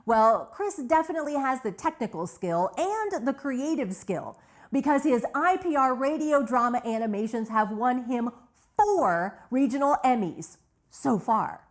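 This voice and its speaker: A woman's voice: high voice, lively intonation, unhurried. A woman narrating a scripted documentary retrospective as its host.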